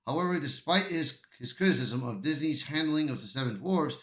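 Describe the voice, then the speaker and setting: A man hosting an amateur news commentary video, reading aloud and reacting in a halting way, stumbling and repeating words. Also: a little high, a bit bright. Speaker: YouTube commentary host. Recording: echoey, roomy sound.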